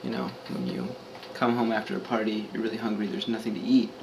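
A man's voice: fairly low pitch, sing-song, fast talker, creaky. A young man hosting a homemade cooking show in a small kitchen at night, rambling offhand with many fillers. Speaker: home cooking show host. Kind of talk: casual home cooking demo. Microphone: handheld camera mic, close. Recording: noisy home camera audio.

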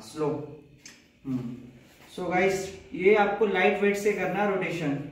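Casting: Indian man, 20s, high-pitched, encouraging gym trainer giving short repeated cues, recorded far from the mic in a very echoey room. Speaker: fitness trainer and presenter. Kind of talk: instructional workout demonstration with coaching cues. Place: indoor gym. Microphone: camera a few metres away. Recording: echoey room, distant mic, faint noise.

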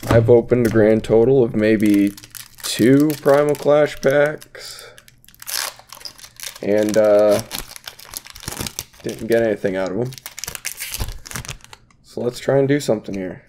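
A man's voice: lowish voice, slow pace, long pauses, dark tone, slight background noise. A young man with a stuffy voice from a cold, chatting casually and rambling as he opens trading card packs at his desk. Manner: rambling, filler-heavy, laid-back. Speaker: YouTube card-opening vlogger. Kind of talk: casual unboxing chat to viewers. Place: home desk, indoors.

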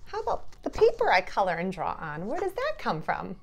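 A woman's voice: medium pitch, wildly swooping pitch, brisk, slight background noise. A middle-aged woman, a preschool teacher, speaking in simple phrases and questions as in a children's lesson. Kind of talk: children's lesson and read-aloud.

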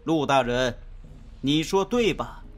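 A man playing a cold, loyal imperial minister in a Chinese period audio drama, formal, deliberate and coolly menacing. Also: mid-range voice, lively intonation. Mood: cold, controlled.

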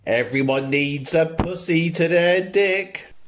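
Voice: mid-range voice, takes it slowly, faint music behind, lightly acted. An actor playing a bawdy middle-aged shopkeeper mother in a radio pantomime, brassy and theatrical. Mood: cheeky.

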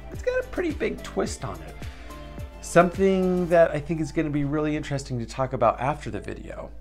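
A young man hosting a children's storytime video, energetic and enthusiastic, talking to the camera. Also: mid-range voice. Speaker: storytime host.

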